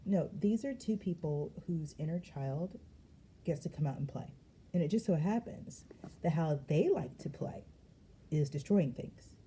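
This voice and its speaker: Low, dark-voiced woman tarot reader. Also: unhurried, a little hiss or hum. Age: adult. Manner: lively, slangy and emphatic, with frequent laughs. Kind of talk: casual spiritual reading vlog.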